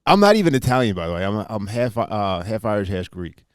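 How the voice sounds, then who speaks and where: A man's voice: lowish voice, sing-song, brisk, smooth, no room sound. A man co-hosting a casual podcast, telling stories in a self-mocking way and swearing casually. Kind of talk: casual chat.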